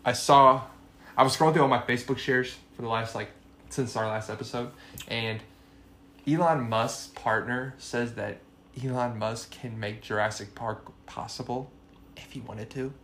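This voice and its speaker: Young male co-host, mid-pitch, easygoing and excitable, faint noise under the voice. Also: normal speed. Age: 20s. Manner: excitable, says "bro" a lot. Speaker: podcast co-host. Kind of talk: casual chat.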